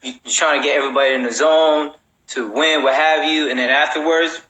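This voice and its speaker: A man's voice: on the high side, fairly even intonation, a little fast, sharp tone, low-quality recording. A man hosting a casual chat in a loose, slangy, rambling way with frequent check-ins. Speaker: host.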